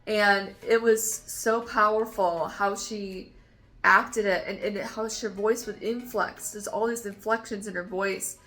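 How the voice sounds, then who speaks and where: A woman's voice: mid-range voice, steady tone, measured pace, a bit bright, low-quality recording. A woman vlogger and composer chatting enthusiastically, rambling with lots of "like" and "um". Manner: enthusiastic, rambling with many fillers. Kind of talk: casual chat.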